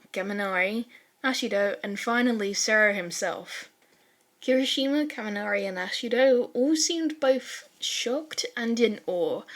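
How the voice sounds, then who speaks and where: A woman reading fan fiction as an audiobook-style narrator, with a casual, wry, expressive storytelling manner. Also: mid-range voice.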